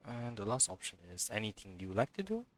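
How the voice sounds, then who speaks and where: Lowish-voiced male game streamer, lively intonation. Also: brisk, slight background noise. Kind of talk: let's play, reading dialogue choices aloud. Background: soft game music.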